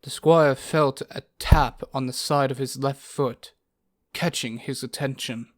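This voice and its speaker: A man's voice: medium pitch, fairly even intonation, a little slow. A man reading scripted narration for a fantasy audio story. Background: music.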